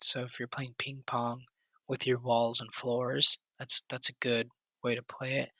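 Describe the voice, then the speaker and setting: Guy with a raspy voice talking over stock charts, dry close sound, kinda monotone. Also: mid-range voice, no echo, poor audio. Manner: quick, loose, with fillers and restarts. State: hoarse, recovering voice. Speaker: stock trading channel host. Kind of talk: casual chart walkthrough.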